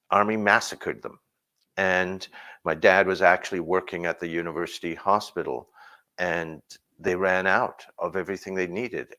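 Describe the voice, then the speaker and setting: A man from Burma telling his personal story in a reflective way, pausing and restarting as he talks. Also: sits low, a bit bright. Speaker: speaker sharing his story.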